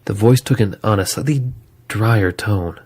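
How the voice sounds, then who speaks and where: A man with a low voice narrates a scripted horror story. He tells it dramatically, as the narrator of an audio drama. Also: measured pace, a little mellow, breathy edge.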